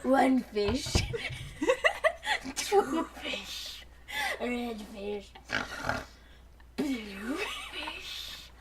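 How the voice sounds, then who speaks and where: A woman co-hosting with casual comic banter, excitable, giggly and quick to interject in mock outrage. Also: on the high side, very noisy. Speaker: co-host heckling a fan fiction reading.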